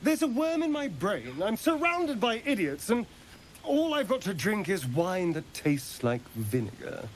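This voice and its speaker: A flamboyant game rogue, peevish and sardonic. He drawls slowly and theatrically with disdain, his highish voice swinging widely in pitch. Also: huge pitch swings, a little hiss or hum, exaggerated voice, rough recording. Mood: sulky, exasperated. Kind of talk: video game character acting.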